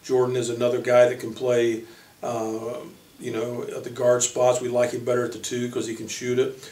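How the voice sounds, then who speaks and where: A man speaking as a college basketball coach, introducing players informally in a halting way with frequent pauses. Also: medium pitch, monotone, mellow. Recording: echoey room, distant microphone.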